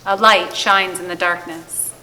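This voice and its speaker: A woman's voice: lowish voice, steady tone, unhurried, sharp tone, slight background noise, poor audio. A woman giving a scripted poetic reading at a church service. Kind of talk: scripted poetic reading, shared among readers.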